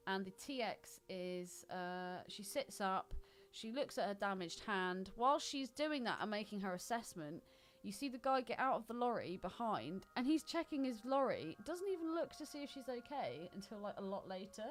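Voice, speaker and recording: A woman's voice: mid-range voice, animated, measured pace. A British woman co-hosting a podcast, chatting casually and expressively about films in an informal, sweary way. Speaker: podcast co-host. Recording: music playing behind the voice. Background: music.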